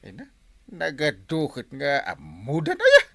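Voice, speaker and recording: A man playing a jovial, proud village captain in a folksy, excitable comic radio drama style. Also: a little high, unhurried, creaky, no room sound, full character voice. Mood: distracted. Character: jovial male village captain, proud, easily sidetracked from duty.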